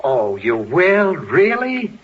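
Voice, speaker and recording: A man's voice: a little high, huge pitch swings, takes it slowly, exaggerated voice. A man playing an irritable, sarcastic husband and father in a 1940s radio comedy, with emphatic, sardonic delivery. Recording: dull, hissy 1940s radio broadcast.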